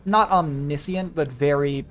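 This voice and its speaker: A young woman with an unusually low voice streams a game. She comments casually and muses in a rambling way. Soft music plays faintly behind her. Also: unhurried. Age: young adult. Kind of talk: casual live gameplay commentary. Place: indoors, live stream with game audio behind.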